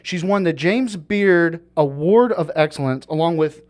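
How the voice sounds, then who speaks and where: A man's voice: fairly high pitch, measured pace. A man hosting a podcast, talking in a rambling, casual way with lots of fillers. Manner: rambling, many fillers and restarts. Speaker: podcast host. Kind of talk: casual chat.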